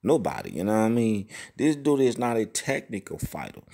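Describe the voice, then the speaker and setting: A man with a fairly low voice delivers casual boxing commentary to his subscribers. He swears freely and keeps asking whether you know what he means. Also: wildly swooping pitch, fairly quick, creaky, dark tone. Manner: profane, repetitive, keeps saying "you know what I mean". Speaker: boxing channel host.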